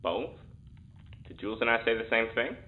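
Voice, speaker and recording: A man playing a sardonic, irreverent test subject in an audio drama, flippant and deadpan, often muttering. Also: medium pitch, moderate pace, slight background noise, natural voice. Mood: mocking. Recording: thin, phone-like intercom sound.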